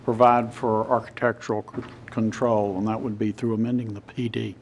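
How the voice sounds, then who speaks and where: A man's voice: on the low side, little pitch movement, slight background noise. A man on a city planning commission, losing his voice, explaining an option at a public meeting with restarts. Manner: measured, explains at length with restarts and apologies. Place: city council chamber.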